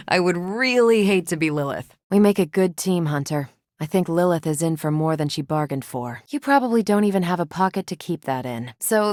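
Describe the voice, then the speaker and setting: A woman's voice: fairly low pitch, dry recording, close to an everyday voice. Woman doing a cocky wisecracking superhero lady for a video game, american accent, punchy delivery, dry studio sound. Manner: wry, punchy, self-assured. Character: confident, wisecracking, battle-ready female superhero. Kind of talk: video game character voice lines.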